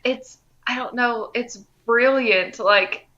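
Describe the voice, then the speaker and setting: Bright, higher-voiced chatty podcast woman. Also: slow pace, highs cut off. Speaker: podcast co-host. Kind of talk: casual fan discussion.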